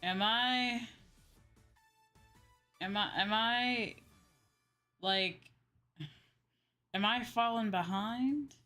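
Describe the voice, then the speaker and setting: A young adult woman in a casual livestream chat, talking as a streamer to viewers in an animated, exclamatory way with acted-out asides. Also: on the high side, very slow, long pauses. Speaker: VTuber streamer chatting with viewers. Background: background music.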